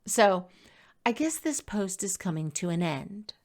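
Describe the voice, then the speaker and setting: A woman reading her own blog post aloud as scripted personal narration, in a conversational, expressive storytelling manner. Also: medium pitch, measured pace.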